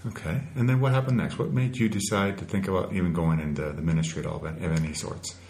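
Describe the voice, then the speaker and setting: A man's voice: low-pitched, fairly even intonation, fast, a little mellow. A man hosting an informal podcast interview, speaking in simple, conversational phrases.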